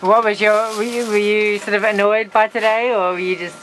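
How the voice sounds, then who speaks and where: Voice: little pitch movement, moderate pace, a bit bright. A person recording a casual, informal video diary and putting questions to crewmates inside a small boat. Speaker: crew member filming and interviewing crewmates. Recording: noisy boat cabin with engine rumble.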